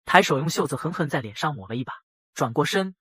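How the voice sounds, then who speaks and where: A man voicing a brash young factory boss who narrates his own dramatic motion-comic story in a husky voice. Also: on the high side, expressive, quick pace, sharp tone, no echo, clean studio sound, full character voice. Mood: dramatic narrating. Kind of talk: dramatic first-person scripted narration.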